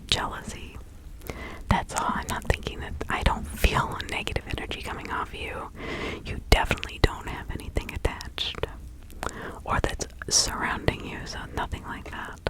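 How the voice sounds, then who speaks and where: A woman playing a kindly, protective village witch and spiritual healer in a whispered ASMR roleplay, hushed, soothing and incantatory. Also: moderate pace, natural voice, low-quality recording. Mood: gentle, reassuring.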